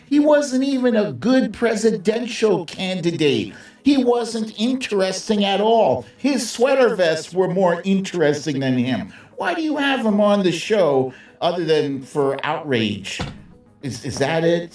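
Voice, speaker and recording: A man's voice: on the high side, a little mellow. Male livestream host, sardonic and opinionated, salty casual phrasing, leisurely delivery, distant roomy sound. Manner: sardonic, conversational, salty slang. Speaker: host of a live political commentary stream. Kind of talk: casual opinionated commentary with asides to viewers. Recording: roomy echo, distant mic, music behind.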